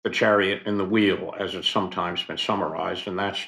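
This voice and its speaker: A man's voice: low-pitched, steady tone, gravelly, bright, forceful tone, a little hiss or hum. Older male historian in an echoey room. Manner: professorial, unhurried, with many fillers and asides. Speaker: historian guest. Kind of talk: expert explanation in a podcast interview.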